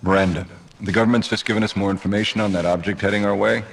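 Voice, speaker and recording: An actor playing a grave mission controller who gives serious, urgent briefings in a video game. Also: male voice, fairly low pitch, steady tone, racing, vocal fry, husky.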